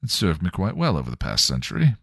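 A man voicing a coarse, sneering male vampire demon who talks in cocky, rough slang. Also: low voice, huge pitch swings, fast talker, no echo, clean studio sound, full character voice.

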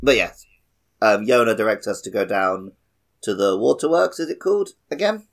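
A man co-hosting a podcast, chatting casually about a video game and often thinking aloud in a halting way. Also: on the low side, expressive, creaky. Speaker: podcast co-host.

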